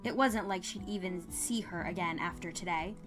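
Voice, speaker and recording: A woman's voice: on the low side, normal speed, music in the background. A woman narrator reading a scripted fan fiction story.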